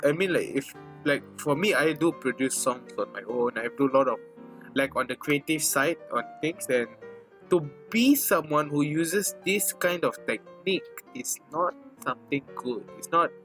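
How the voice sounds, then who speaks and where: A man's voice: on the high side, lively intonation, normal speed, a bit bright, soft background music. A young man with a Malaysian English accent, co-hosting a casual podcast and rambling with lots of "I mean, like". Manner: rambling, heavy use of "I mean, like". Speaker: podcast co-host. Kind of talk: casual chat.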